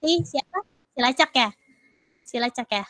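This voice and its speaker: A woman's voice: on the high side, moderate pace, pushed and bright. A woman giving a casual lecture with many fillers and English terms, recovering from a cough. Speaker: university lecturer.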